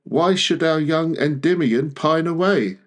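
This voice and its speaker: Slow, deliberate man reciting poetry. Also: a little high. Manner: measured, deliberate phrasing with frequent pauses. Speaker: poetry reader.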